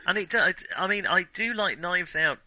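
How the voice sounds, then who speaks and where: A man's voice: high voice, animated, moderate pace, bright, forceful tone, dry recording, low-quality recording. A man co-hosting a film podcast, chatting casually and conversationally, often acting out imagined reactions as he explains. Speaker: film discussion podcast co-host.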